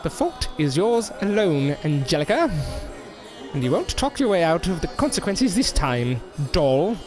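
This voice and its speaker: A man's voice: a little high, very animated, measured pace. A bitter, menacing male stage performer past his glory days acted by a man, with a theatrical, swooping delivery. It is audio drama acting, with music underneath. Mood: bitter menace. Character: bitter washed-up male stage entertainer, foul-mouthed and menacing.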